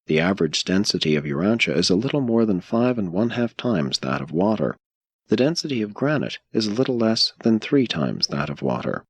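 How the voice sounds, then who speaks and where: A man reading scripted audiobook narration in a measured, solemn and formal manner. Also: low voice, husky, no room sound.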